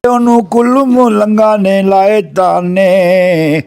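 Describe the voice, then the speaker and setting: A man's voice: high voice, little pitch movement, darkish tone, clear, resonant tone. A man with a Punjabi accent reciting a folk verse tale in a melodic chant, drawing out the ends of his lines. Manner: chanting, melodic, with long drawn-out line endings.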